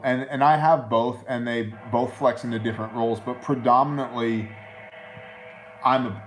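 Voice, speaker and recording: Blunt man chatting on a live stream. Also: medium pitch. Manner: blunt, reads viewer comments aloud. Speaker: co-host of a live show. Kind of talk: casual live stream chat.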